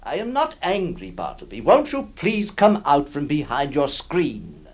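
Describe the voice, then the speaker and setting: An actor playing a middle-aged lawyer who narrates, stammering and theatrical, swinging between mock cheer and pleading. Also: male voice, fairly high pitch, huge pitch swings, a little slow, heavily acted. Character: middle-aged male lawyer, flustered, exasperated, kindly. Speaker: lawyer employing a scrivener, narrator. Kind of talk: 1960s radio drama acting.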